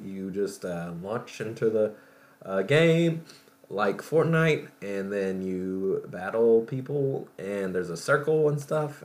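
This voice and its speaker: A man hosting a podcast, talking casually about gaming news in a halting, rambling way, as if thinking aloud. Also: fairly low pitch. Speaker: podcast host. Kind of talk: casual solo show talk about video game news.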